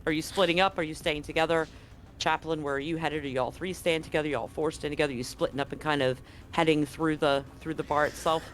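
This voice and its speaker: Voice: mid-range voice, fairly even intonation, quick pace. A game master narrating a tabletop roleplaying game in a conversational way, describing scenes and asking players what they do. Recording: music playing underneath. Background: music.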